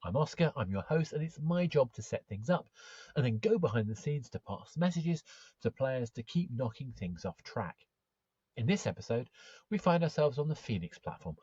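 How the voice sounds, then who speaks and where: A man's voice: mid-range voice, a little mellow, muffled recording, slight background noise. A man hosting a show, reading a scripted introduction as dramatic narration. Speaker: show host. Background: faint music.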